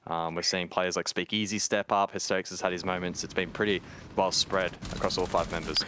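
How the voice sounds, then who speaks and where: A man giving analytical colour commentary on a live esports match in a measured, wordy way. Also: sits low, little pitch movement, noisy background.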